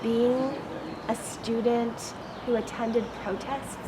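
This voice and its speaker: Woman, fairly high voice, conversational interviewer, very noisy outdoor recording with vehicles. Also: little pitch movement, takes it slowly. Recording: loud outdoor ambience with vehicles.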